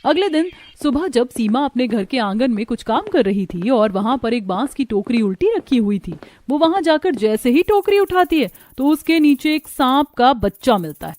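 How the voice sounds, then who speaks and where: A woman storyteller reading scripted narration for an animated tale. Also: on the high side.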